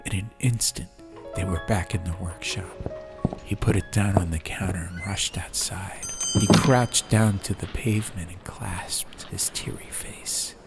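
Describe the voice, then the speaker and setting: Low-voiced male storybook narrator. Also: fairly even intonation, measured pace, whispered. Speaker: audiobook narrator. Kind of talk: atmospheric scripted fantasy narration. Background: music.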